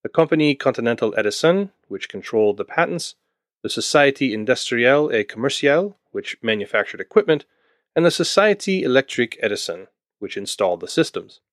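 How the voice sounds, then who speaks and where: Canadian-raised guy narrating history, swoopy lively voice, super dry close sound. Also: mid-range voice, a little fast, no echo. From Ontario, Canada. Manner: wry, lively storytelling with asides to the listener. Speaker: podcast host.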